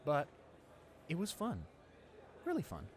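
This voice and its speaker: A young man playing a friendly, eager high school senior in a roleplay audio drama, rambling enthusiastically with stammers and repeats. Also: wildly swooping pitch, slow pace, heavily acted. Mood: excited, fond. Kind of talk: roleplay audio drama, casual one-sided conversation. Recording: clear background noise, ambience.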